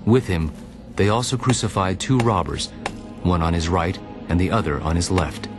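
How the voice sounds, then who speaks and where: Very deep-voiced male scripture narrator. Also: moderate pace. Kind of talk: solemn dramatized scripture narration. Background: music.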